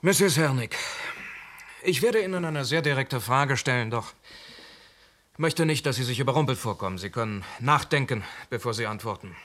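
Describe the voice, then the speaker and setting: An actor playing a formal, courteous police inspector in a radio drama who stays firm behind the politeness. His voice has a bright, forceful edge. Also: medium pitch, animated, normal speed, lightly acted. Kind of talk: radio play acting.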